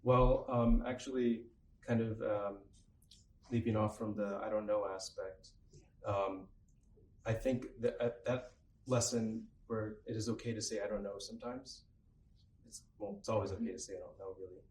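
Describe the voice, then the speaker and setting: A young man with a low, very even voice talks slowly through his thoughts. The mic is a little far off, in a roomy space with a faint hum. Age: young adult. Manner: measured, hesitant with many ums. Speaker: university student, lab researcher. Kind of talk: candid panel discussion.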